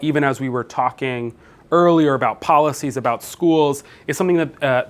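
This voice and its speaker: A man in his thirties from New Jersey giving a conference keynote, talking conversationally with many fillers and restarts. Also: mid-range voice. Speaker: keynote speaker, professor. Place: conference room.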